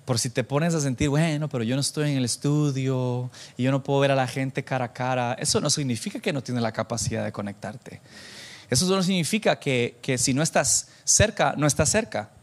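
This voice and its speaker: A man preaching informally to a church congregation, animated and self-deprecating, bantering with listeners and dropping in English phrases. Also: medium pitch, fairly quick. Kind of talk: informal conversational sermon with anecdotes.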